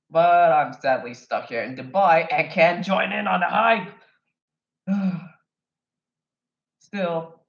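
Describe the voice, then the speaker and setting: A man from Kenya talking casually and enthusiastically to the camera, rambling and wandering off his point now and then. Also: fairly high pitch. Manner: enthusiastic fan, emphatic. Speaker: vlogger. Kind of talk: casual movie review monologue. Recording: echoey room, dull lo-fi sound.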